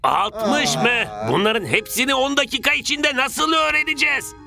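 High, gravelly, grumpy older coach. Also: male voice, expressive, normal speed, full character voice. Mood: exasperated. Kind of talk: animated cartoon dub. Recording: music behind the voice.

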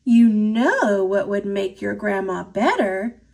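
A woman's voice: mid-range voice, lively intonation, slow pace, vocal fry, a little mellow, heavily acted. A woman voicing a sly male dragon in a picture book, teasing and wheedling with a sweet tongue. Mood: scornful, scheming.

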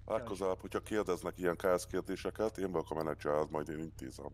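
A man chatting and joking casually as a player in a roleplay game. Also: low-pitched.

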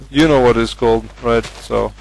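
Hesitant Norwegian-accented gamer, flat voice. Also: male voice, medium pitch, measured pace, vocal fry, dry recording, poor audio. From Norway. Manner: hesitant, many fillers, chatty. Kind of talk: casual game commentary.

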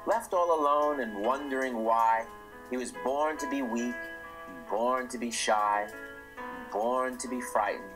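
A middle-aged man, the author, reading his rhyming children's book aloud in a lively, expressive way. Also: mid-range voice, low-quality recording.

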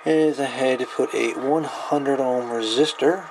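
Gravelly man over clear background noise. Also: medium pitch, steady tone, unhurried. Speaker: electronics hobbyist demonstrating a DIY radio project. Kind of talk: casual hands-on tutorial, unscripted. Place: indoors at a cluttered electronics workbench. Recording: noisy camera microphone at a workbench.

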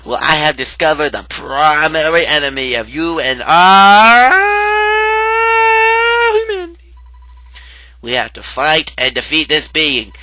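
A man's voice: on the high side, sing-song, measured pace, pauses often, very noisy. A man voicing a self-important boy genius inventor in a video game.

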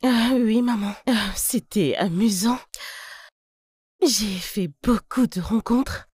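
A woman dubbing a sheltered young daughter who talks slowly and dreamily in a film. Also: a little high, darkish tone, husky, no room sound, studio quality. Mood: dreamy. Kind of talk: French film dub.

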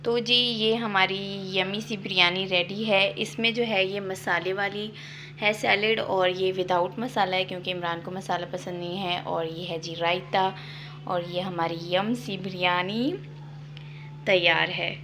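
A woman's voice: medium pitch, pushed and bright, slight background noise. A young woman, casually chatting to viewers in her home kitchen while filming on a handheld phone. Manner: chatty, upbeat, addresses viewers directly. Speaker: vlogger. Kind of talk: casual home cooking vlog. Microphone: phone camera held in hand.

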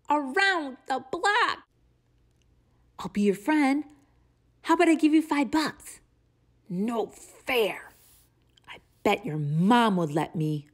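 A woman acts out a pleading storybook pigeon with a high pitch. The delivery is dramatic and wheedling, with words stretched out slowly as it sulks and begs. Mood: sulky, indignant. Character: pleading, sulky cartoon pigeon desperate to drive. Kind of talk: animated picture-book read-aloud.

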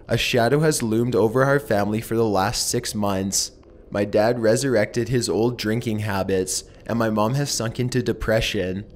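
Somber low-voiced male horror narrator. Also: darkish tone, a little hiss or hum. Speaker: horror story narrator and channel host. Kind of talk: scripted horror story narration.

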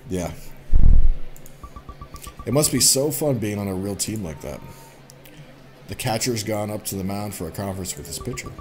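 Guy with a lowish voice doing a lets play, reads the game out and chats over it, game music behind. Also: animated, normal speed, long pauses, poor audio. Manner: chatty, interjects reactions while reading. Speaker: gaming let's play host. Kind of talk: let's play commentary, reading visual novel text aloud.

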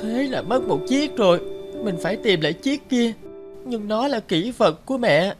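A woman voicing a poor, freezing little girl in an animated story, plaintive and pleading. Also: mid-range voice, normal speed, close to an everyday voice. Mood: pleading, anxious. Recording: loud music behind the voice.

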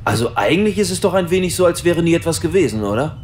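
Laid-back skeptical crewmate, faint background hiss. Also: male voice, on the high side, sing-song, quick pace. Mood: casual. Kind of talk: audio drama acting.

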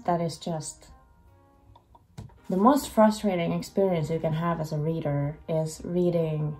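A woman's voice: fairly low pitch, rough recording. A young woman whose first language is Finnish, chatting casually in a reading vlog, a little under the weather, recorded on a webcam in a small room. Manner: chatty, animated, self-deprecating. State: slightly sick, voice lower than usual. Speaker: book vlogger.

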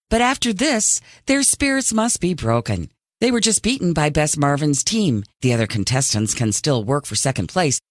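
A man's voice: a little high, no room sound, clean studio sound. A man playing an upbeat reality competition host in a video game, announcing with dramatic, tongue-in-cheek pomp. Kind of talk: scripted TV announcer narration.

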